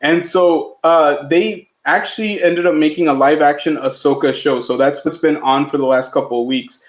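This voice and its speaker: A man co-hosts a live podcast in a loose, rambling way, full of fillers and restarts. His family is Mexican and Spanish was his first language. His voice sits a little high, and it echoes in the room around him. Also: steady tone, normal speed. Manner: chatty, filler-heavy, restarts often. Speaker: podcast co-host.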